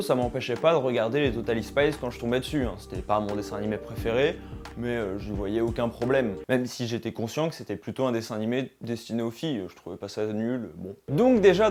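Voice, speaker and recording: A young man hosting a conversational video essay, speaking informally and straight to his viewers. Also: medium pitch. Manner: informal, addresses viewers directly.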